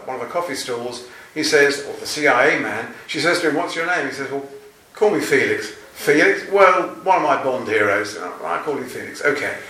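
A man's voice: on the high side, bright, forceful tone, recorded from a distance. An older man, a novelist, answering interview questions in a chatty, anecdotal and self-deprecating way. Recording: roomy, from off-mic.

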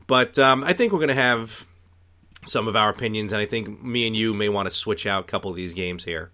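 A man's voice: lowish voice, fairly quick, pushed and bright. A man co-hosting a casual, unscripted podcast about retro games, rambling and correcting himself as he goes. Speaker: podcast co-host.

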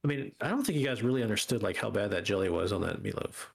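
A man's voice: fairly low pitch, expressive, fast, vocal fry, slight background noise. A man co-hosting a podcast in casual, rambling chat, teasing and drifting into asides. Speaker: podcast co-host. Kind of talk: casual chat with live chat banter.

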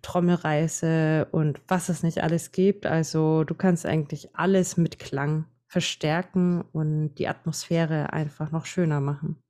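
A woman in her late twenties with a Franconian German accent, a podcast guest explaining things at length in a relaxed way. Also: sits low, a little slow, hardly any pauses. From Franconia, Bavaria. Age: 20s. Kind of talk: casual podcast chat.

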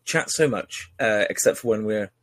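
A man's voice: fairly low pitch, moderate pace, a bit bright, no echo, clean studio sound. A man hosting a casual podcast interview, chatty and teasing, with many fillers and restarts. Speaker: podcast host.

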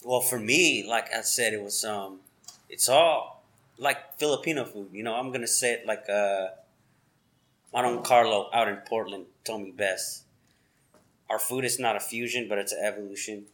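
A man's voice: on the low side, normal speed, fairly bright tone. A man who is a chef, talking casually and animatedly, rambling with lots of fillers. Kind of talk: casual conversation.

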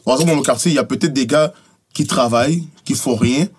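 Fast-talking husky Montreal man. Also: medium pitch. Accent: Montreal Quebec French. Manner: emphatic, repeats "tu comprends" and "bro". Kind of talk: casual interview talk.

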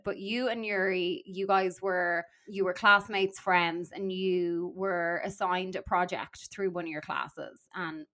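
A woman's voice: on the low side, a bit bright. A woman, hosting a conversational interview and sharing her own experience. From Ireland. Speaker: interviewer, host.

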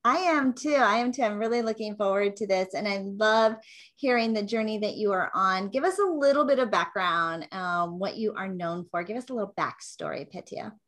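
A woman's voice: mid-range voice, moderate pace, fairly bright tone. A woman hosting an interview show, upbeat and enthusiastic as she introduces and questions her guest. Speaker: podcast host.

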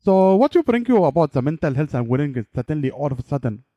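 A man's voice: a little high, very animated, quick pace, mellow, no echo, muffled recording. A man hosting a podcast, speaking casual English with loose grammar and interviewing a guest. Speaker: podcast host.

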